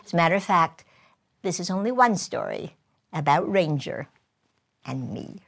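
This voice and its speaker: A woman telling a story in the first person as a stage storyteller, measured, with pauses between short phrases. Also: fairly low pitch, lively intonation, creaky, slight background noise. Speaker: professional storyteller. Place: performance studio with an audience.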